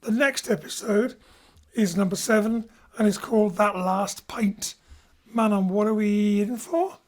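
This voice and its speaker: A woman's voice: medium pitch, measured pace. A woman hosting a casual discussion about theatre and audio drama.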